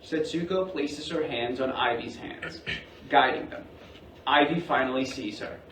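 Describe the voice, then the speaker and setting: A man's voice: mid-range voice, unhurried, a bit bright. A man reading out stage directions as scripted narration at a staged play reading. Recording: distant, echoey, faintly noisy staged reading.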